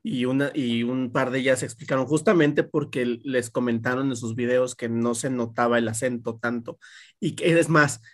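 A man with a medium-pitched voice explains a point in a relaxed film chat. He strings his thoughts together with trailing fillers. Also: moderate pace. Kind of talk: casual film review chat.

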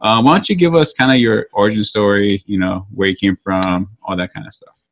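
A man co-hosting a podcast in casual chat, halting with fillers. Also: fairly low pitch. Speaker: podcast co-host.

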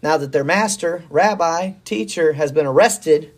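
A hoarse middle-aged man with a slightly high-set voice, chatting as a podcast pastor. He rambles in a folksy, upbeat way, with a worn, scratchy throat. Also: unhurried. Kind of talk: casual faith talk.

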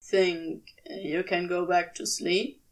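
A sleepy demon fellow acted by a woman, muttering in clipped, casual phrases. He drops low and slow, laconic but caring, in an audiobook story heard slightly off the microphone. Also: flat delivery, far from the mic, poor audio. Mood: gentle, alert.

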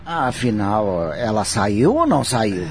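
A man's voice: lowish voice, sing-song, slow, a little hiss or hum, heavily acted. An actor playing a cheerful, easygoing older man in conversational radio drama style. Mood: calm, curious. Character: Ferdinando, easygoing older man, cheerful former office colleague.

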